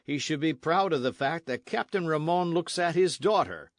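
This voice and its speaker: A man's voice: on the high side, wildly swooping pitch, normal speed, no echo, studio quality, heavily acted. A man voicing an arrogant Spanish colonial army captain in a storybook, pompous, sneering and insistent. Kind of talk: storybook narration with character voices.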